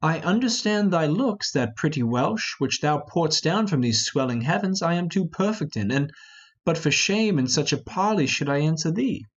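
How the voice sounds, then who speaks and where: Man as an earnest young lord, animated verse. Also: fairly high pitch, moderate pace, highs cut off. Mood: lovestruck. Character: earnest romantic young lord, lovestruck peacemaker. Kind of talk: amateur Shakespeare reading.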